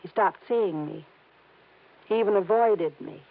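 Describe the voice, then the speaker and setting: An actress playing an anxious, defensive young wife and heiress in a 1950s radio drama, earnest and pleading, on a tinny old recording. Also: female voice, medium pitch, measured pace, creaky. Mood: regretful.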